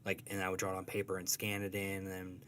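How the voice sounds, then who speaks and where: A man being interviewed as a guest, talking in a casual, halting, self-deprecating and joking way. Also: low voice, monotone, fairly bright tone, a little hiss or hum. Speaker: guest, comic strip artist.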